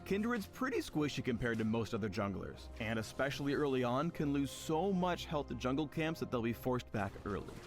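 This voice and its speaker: A man narrates a scripted game guide in a mid-range voice. His phrasing is playful and a little wry as he walks through tips like a tutorial narrator. Music plays clearly underneath him. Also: medium pitch, sing-song, a little fast, few pauses. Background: music behind the voice.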